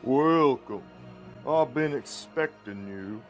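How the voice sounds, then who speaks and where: A man voicing a large, sinister catfish gambler in a suit, with a deep, slow Mississippi drawl and folksy, persuasive talk, as in a fantasy script reading. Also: animated, faint music behind. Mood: menacing welcome. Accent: Mississippi southern drawl.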